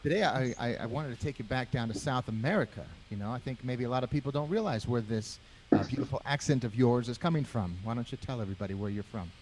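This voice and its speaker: A man who plays piano chatting in a friendly, relaxed way on a video call and introducing his song. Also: mid-range voice, quick pace, hardly any pauses, a little hiss or hum, rough recording. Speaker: pianist guest. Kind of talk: casual chat.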